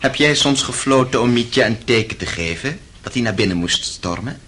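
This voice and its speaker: A man playing a bitter, tormented intellectual gentleman in a radio play, with a biting, commanding and sardonic manner. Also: mid-range voice, lively intonation, moderate pace, slightly rough. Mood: suspicious, angry. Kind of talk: Dutch radio play acting.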